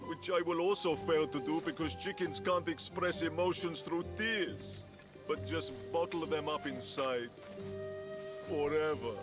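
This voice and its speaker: An actor voicing a sulky, self-pitying war god trapped in a chicken's body, melodramatic and bitterly sarcastic, in a video game cutscene. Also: male voice, fairly high pitch, a little slow, music in the background, lightly acted. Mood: bitter, miserable.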